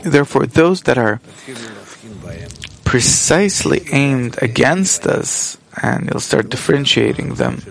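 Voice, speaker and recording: A man interpreting a spiritual lesson live into English, speaking in measured, halting phrases with pauses mid-sentence. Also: mid-range voice, vocal fry. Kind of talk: simultaneous interpretation from another language.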